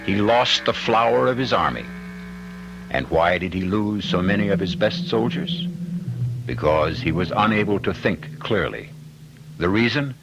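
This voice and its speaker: A man hosting a radio mystery drama, narrating from a script in a measured, rhetorical, ominous way. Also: sits low. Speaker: host and narrator. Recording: old radio broadcast with music.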